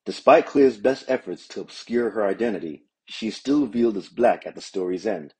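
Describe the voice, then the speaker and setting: A man speaking as a professor, giving prepared academic commentary in a measured, explanatory way. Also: on the low side, expressive, warm tone, husky.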